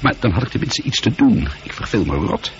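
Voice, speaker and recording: A sardonic space pilot in his forties, with a lowish, gravelly voice that swings widely in pitch. He grumbles and teases in moody radio drama style. The recording is old, dull and noisy. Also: big pitch swings, fairly quick. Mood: bored, grumbling. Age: 40s. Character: bored, irritable, teasing 42-year-old space colonel and pilot.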